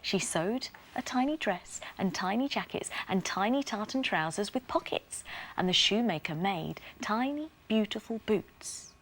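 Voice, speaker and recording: A woman presenting a scripted children's bedtime story in an expressive, sing-song rhyming delivery. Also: medium pitch, unhurried.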